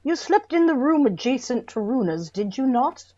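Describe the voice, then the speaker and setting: A formal probing inquest official in a radio play, animated voice, bit muffled. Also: female voice, sits high, moderate pace. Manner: authoritative, formal. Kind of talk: radio drama acting.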